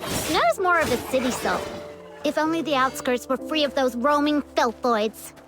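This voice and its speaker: A woman's voice: high voice, lively intonation, moderate pace, music in the background. A woman voicing a cute, cheeky young girl in a video game, playful and sure of herself. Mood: cheeky, casual.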